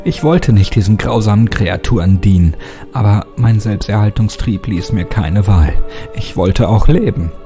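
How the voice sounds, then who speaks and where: A man's voice: fairly low pitch, normal speed. A man giving a scripted, dramatic first-person narration of a horror story. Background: music.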